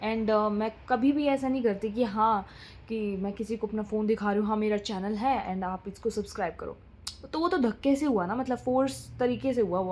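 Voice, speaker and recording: A woman's voice: medium pitch, a little mellow, slight background noise. A young woman vlogging in casual, rambling chat, often switching between Hindi and English.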